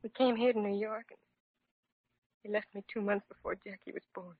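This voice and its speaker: A woman's voice: medium pitch, steady tone, brisk, no echo, lightly acted. A woman playing a distraught young American mother, hesitant and plaintive, in an old-time radio drama. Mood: anxious, weary. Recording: muffled vintage radio recording.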